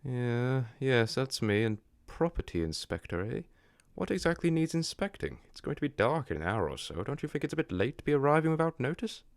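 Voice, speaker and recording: Bloke acting irritable suspicious homeowner in his 30s, audio drama, lively up and down pitch, dry sound, defensive. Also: brisk, no echo. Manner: defensive, questioning, clipped. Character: suspicious, irritable, guarded homeowner, man of thirty-seven.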